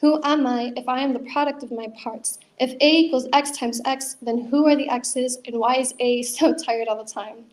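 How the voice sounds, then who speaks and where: A young woman performing her own spoken word poetry at an open mic, earnest and measured. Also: sits high, steady tone. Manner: earnest, measured reading with chatty asides.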